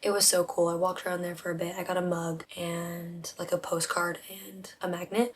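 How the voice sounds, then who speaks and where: Softly whispering young woman vlogger. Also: lowish voice, a little hiss or hum. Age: 20s. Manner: hushed, half-whispered talk. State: voice rough from not talking. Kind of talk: casual chat to camera. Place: home, indoors at night. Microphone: vlog camera.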